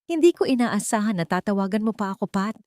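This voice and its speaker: A woman's voice: medium pitch, expressive, fast, darkish tone, no echo, pitched above the performer's own voice, lightly acted. Audio-drama part: bitter, fearful man, a cornered doctor, played by a female narrator, emphatic and strained, dry sound. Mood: bitter, wary. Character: bitter, frightened male doctor cornered by his ex-lover.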